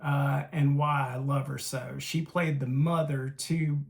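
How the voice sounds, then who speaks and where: A man hosting a casual film collection review, talking haltingly with frequent pauses and fillers. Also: mid-range voice, level tone, gravelly. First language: English. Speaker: host.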